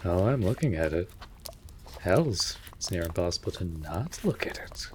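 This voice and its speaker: A man's voice: low voice, sing-song, moderate pace. A man playing a wry, put-upon winged animal familiar in a fantasy audio roleplay, with teasing, dramatic, formal diction. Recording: clear background noise.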